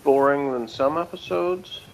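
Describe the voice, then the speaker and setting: A man's voice: on the high side, unhurried, vocal fry, poor audio. A man co-hosting a casual, opinionated episode review in a rambling, loosely phrased way, with faint noise behind him. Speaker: review show co-host.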